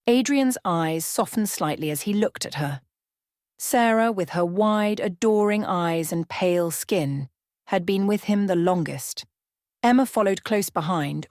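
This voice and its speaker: Woman, lower-pitched voice, dry close sound, scripted story narration, ASMR bedtime storyteller. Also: darkish tone, no echo.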